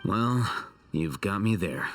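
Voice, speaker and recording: An aloof, dry-witted male scholar from a video game. His voice sits fairly low and moves expressively in pitch while staying measured, formal and unbothered. Faint music runs underneath. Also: expressive, distant mic, heavily acted. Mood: wry, unbothered. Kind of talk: scripted video game voice acting.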